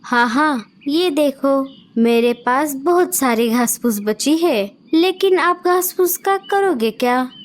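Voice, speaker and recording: A woman's voice: high-pitched, animated, slow, hardly any pauses. A woman voicing kind female birds in a cartoon story, speaking sweetly and eager to help. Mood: helpful.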